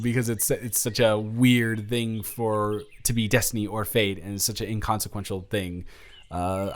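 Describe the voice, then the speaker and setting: A man's voice: medium pitch, clear, resonant tone, close to an everyday voice. A young man playing an awkward, smitten, introverted college dormmate in a romantic audio roleplay, rambling and stammering in a flustered way. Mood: shy, sincere. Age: young adult. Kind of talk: intimate romantic audio roleplay, conversational acting.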